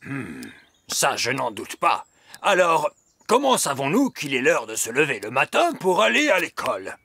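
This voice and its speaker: A man voicing a wise, stern old elf teacher in a children's cartoon, pedantic and measured. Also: a little high, wildly swooping pitch, sharp tone, slightly rough, no echo, exaggerated voice. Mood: pedantic, approving. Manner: pedantic, measured, drawn-out praise.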